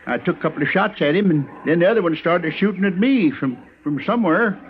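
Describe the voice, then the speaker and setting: An actor playing a nervous, simple homesteader in a radio western, with a rural American accent and a hesitant, stammering, deferential delivery. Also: male voice, high voice, animated, quick pace. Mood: anxious. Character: nervous, simple frontier homesteader, frightened of Indians. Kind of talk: old-time radio western acting. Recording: muffled, tinny old radio recording, faint noise.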